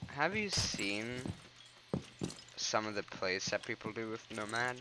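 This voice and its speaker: Lowish voiced guy rambling about a shooter game, kinda stuffy nose, gunfire and game noise behind. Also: sing-song, a little slow. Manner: rambling, filler-heavy, pointing at footage. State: congested. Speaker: gaming commentator. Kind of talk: casual gameplay commentary.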